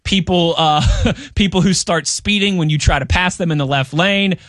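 A sports radio host man in casual, chatty talk. His voice sits a little high and the sound is dry and close. He laughs easily between points. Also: moderate pace, a bit bright, dry recording.

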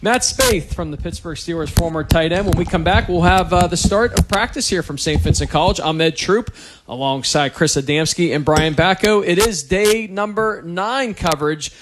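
A man's voice: sits high, normal speed, slight background noise. A man hosting a live sports radio interview in a chatty, effusive, casual way.

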